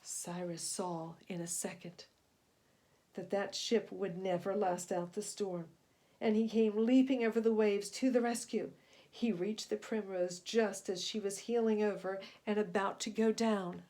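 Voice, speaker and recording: A woman reading a picture book aloud to young children in expressive storytelling narration. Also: mid-range voice, unhurried.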